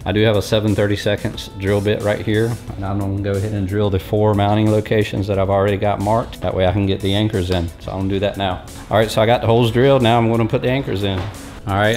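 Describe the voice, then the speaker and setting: A man in his forties giving a relaxed, folksy step-by-step how-to walkthrough in a large metal workshop, talking close to a handheld camera. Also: on the low side. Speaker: fishing channel host. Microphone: handheld or head-mounted camera close up.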